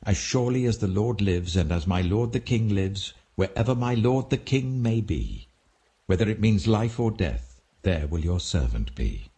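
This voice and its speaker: Man voicing a resolute, deep British soldier. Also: animated, normal speed, warm tone, slightly rough. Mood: devoted, firm. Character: loyal, resolute foreign soldier swearing allegiance.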